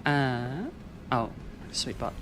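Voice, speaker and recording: A game streamer talking casually through a live stream, chatting with viewers and reading chat aloud in a soft, soothing way. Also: medium pitch, steady tone, drawn-out words, noisy background. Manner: chatty, reads and answers chat.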